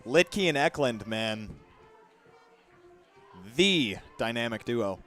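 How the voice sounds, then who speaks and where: A man's voice: mid-range voice, lively intonation, slow, fairly bright tone, faint music behind. Laid-back man joking in the booth. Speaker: color commentator. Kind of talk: casual booth banter.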